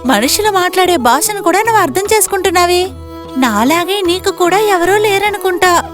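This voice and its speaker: A woman plays a homeless young girl in a cartoon moral tale. The voice is very high, thin and childlike, with a polite, grateful, earnest manner. Music plays clearly behind it. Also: expressive, a little fast. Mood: pleased, friendly. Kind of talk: animated moral story dialogue.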